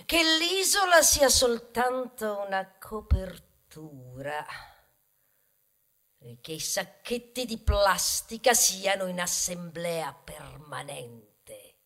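A woman's voice: mid-range voice, expressive, slow pace, fairly bright tone. A woman stage performer delivering a satirical ballad in declamatory, theatrical narration that is partly sung.

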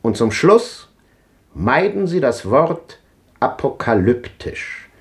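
A man's voice: medium pitch, big pitch swings, takes it slowly. An actor playing an ironic, resigned old man who lectures drily, in a 1950s radio drama. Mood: wry.

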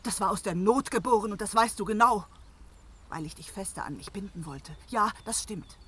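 An actress playing a jealous, sharp-tongued goddess wife who scolds reproachfully in a German fantasy radio drama. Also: female voice, mid-range voice, moderate pace, breathy edge. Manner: reproachful, biting.

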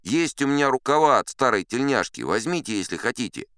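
A man doing an eager, high-pitched first mate. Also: sing-song, a little fast, a bit bright, clean tone, dry recording, clean studio sound. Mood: earnest, helpful. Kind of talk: audiobook character acting.

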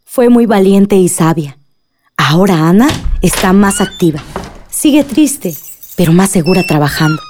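A woman's voice: medium pitch, animated, a little slow, a little mellow, slight background noise, lightly acted. An actress with a Mexican accent playing a caring, homely middle-aged village mother in a radio drama. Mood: proud.

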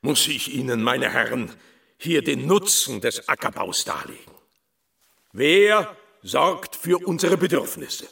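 A pompous, slow, distant orator. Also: male voice, medium pitch, big pitch swings, gravelly, fairly bright tone, full character voice. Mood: rhetorical, self-important. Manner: bombastic, declamatory, rhetorical. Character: pompous male official orator addressing farmers. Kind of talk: public speech in a radio drama. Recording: distant, off-mic public address.

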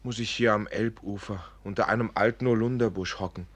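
A man's voice: on the low side, steady tone, fairly quick, a little hiss or hum, natural voice. A man playing a clumsy, dreamy young student in a radio play, theatrical and prone to lament. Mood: gloomy self-pity. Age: young adult. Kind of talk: German radio play acting.

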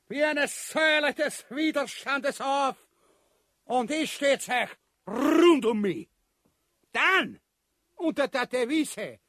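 A man's voice: extremely high pitch, fairly bright tone, dry recording, clean studio sound, exaggerated voice. An actor playing a cowardly, pompous, grumbling king in a farcical radio play, swearing and ranting in a Viennese Austrian accent. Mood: blustering command. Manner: ranting, swearing, blustering with stammered repeats. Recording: music behind the voice.

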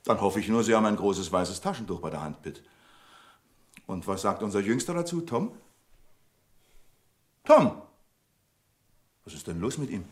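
Male actor as strict bank boss, lowish voice, lively intonation, curt, sarcastic, authoritarian, radio drama. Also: moderate pace, pauses often, natural voice. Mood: irritated, sarcastic. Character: stern, pedantic male bank manager, commanding and irritable. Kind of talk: radio play acting.

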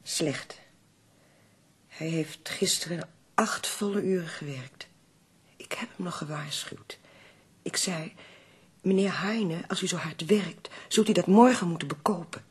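Husky, worried housekeeper. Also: female voice, on the low side, a little slow, long pauses. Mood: fretful, scolding. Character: worried, scolding female housekeeper of the poet. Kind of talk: radio drama acting.

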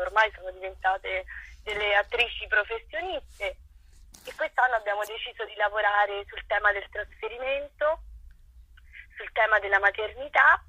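A woman's voice: mid-range voice, sharp tone, breathy edge. A woman theatre director being interviewed over the telephone, explaining her work earnestly. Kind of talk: phone interview about own work. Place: phone call to a broadcast. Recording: tinny, echoey telephone line.

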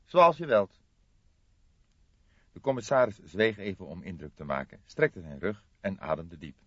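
Low-voiced male audiobook narrator. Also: animated, normal speed, slightly rough, no echo, lo-fi sound. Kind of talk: scripted story narration.

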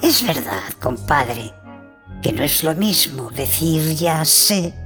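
A woman playing a mischievous, knowing sprite in a radio drama, deliberate and conspiratorial. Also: deep voice, expressive, fairly bright tone, heavily acted. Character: mischievous, knowing female sprite, gossiping about lovers. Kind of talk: stage-like radio drama acting. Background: music.

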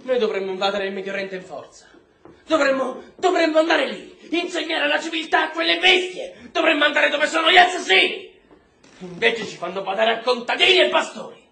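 An actor playing a volatile, domineering army officer and husband in a stage-style drama, coarse and contemptuous. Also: male voice, very high-pitched, expressive, fairly quick, vocal fry, heavily acted. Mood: enraged. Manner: coarse, contemptuous, erupting into shouts. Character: volatile, bitter, domineering military husband, prison camp officer. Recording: roomy echo, faint noise.

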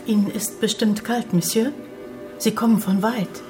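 A woman's voice: medium pitch, steady tone, measured pace, music in the background, close to an everyday voice. An actress playing a polite, earnest and naive young woman in a German radio drama. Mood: caring. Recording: clear background noise with ambience.

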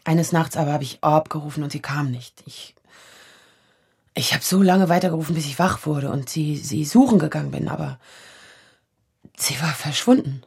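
A woman acting a vulnerable, searching woman in her thirties in a naturalistic radio drama, with a husky, breathy voice. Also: sits low, normal speed, long pauses, darkish tone, heavily acted. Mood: haunted, remembering. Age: 30s. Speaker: lead actor.

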